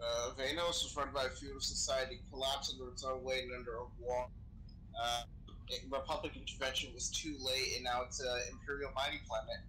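Bright-voiced man in noisy game chat. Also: medium pitch, little pitch movement, brisk. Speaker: role-play gamer playing a crew member. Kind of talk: improvised in-character game chat.

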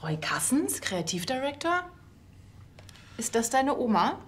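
A woman's voice: high voice, big pitch swings, a little slow, slight background noise. A woman playing an upbeat, helpful police colleague with natural TV comedy acting. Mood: curious.

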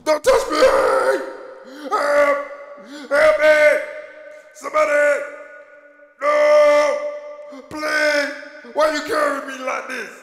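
A man playing a captured young gang member who begs and yells in a frantic voice. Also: very high voice, fairly even intonation, drawn-out words, long pauses, full character voice. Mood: panicked. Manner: pleading, frantic, yelling.

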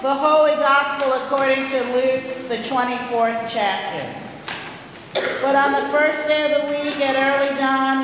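A woman reading the gospel at a lectern in a large church, steady and formal. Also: sits high. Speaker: gospel reader. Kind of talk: reading scripture aloud. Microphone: lectern mic. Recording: echoey church hall with noise.

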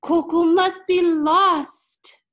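A woman voicing an earnest young village girl from a storybook, exclaiming with drawn-out, emphatic words. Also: extremely high pitch, fairly even intonation, a bit bright, voice pushed up, heavily acted. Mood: alarmed.

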